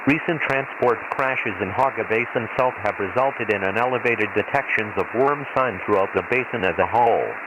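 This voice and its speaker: A man playing an impersonal imperial weather announcer on a desert planet, reading a formal, measured hazard bulletin through a radio filter. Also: mid-range voice. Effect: radio transmission filter. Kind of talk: scripted emergency weather bulletin, read like automated weather radio. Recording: hissy, noisy shortwave-style radio transmission.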